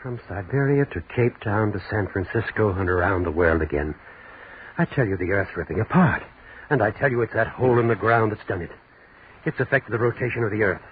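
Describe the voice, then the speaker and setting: A man's voice: fairly low pitch, expressive, fairly quick, breathy edge. An actor playing an alarmed, agitated academic man, urgent and exclamatory in 1940s radio style. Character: alarmed, agitated male academic demanding answers. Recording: noisy, tinny 1940s radio recording.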